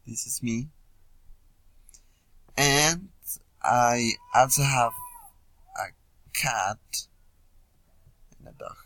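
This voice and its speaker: A young man from Peru with a Peruvian Spanish accent, introducing himself informally as a student in hesitant learner English with frequent pauses. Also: medium pitch, a bit bright. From Puno, Peru. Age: young adult. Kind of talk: informal self-introduction video.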